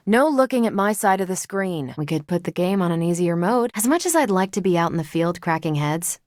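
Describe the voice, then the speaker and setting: A woman's voice: on the low side, dry recording, studio quality, lightly acted. A woman playing a confident, wry female superhero soldier in a video game, breezy and assured in her delivery. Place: dry studio recording.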